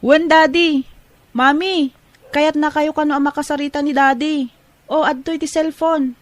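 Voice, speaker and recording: Woman playing an earnest high-pitched young daughter. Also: fairly even intonation, normal speed, close to an everyday voice. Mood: eager, sweet. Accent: Filipino, Ilocano. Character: young adult daughter, loving, earnest, determined nursing student. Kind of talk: audio family drama acting.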